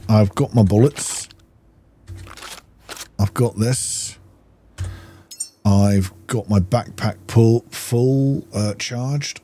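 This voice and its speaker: Low-voiced man over noisy game ambience. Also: mellow. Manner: musing, talks to himself. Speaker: gamer, let's play host. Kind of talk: casual gameplay commentary, thinking aloud.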